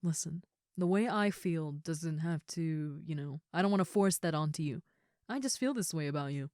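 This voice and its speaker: A woman acting as a young female ogre roommate, with a slightly low voice recorded close and dry. She is shy and caring, speaking softly and casually with hesitant reassurance, as in an intimate audio roleplay. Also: normal speed, no echo, close to an everyday voice. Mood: earnest, reassuring. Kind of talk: intimate comfort audio roleplay, addressed to the listener.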